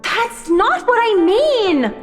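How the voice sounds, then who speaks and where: A woman's voice: extremely high pitch, very animated, takes it slowly, exaggerated voice. An actress voicing an anxious, earnest young female student in a visual novel, worried and protesting. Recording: long reverb, music underneath.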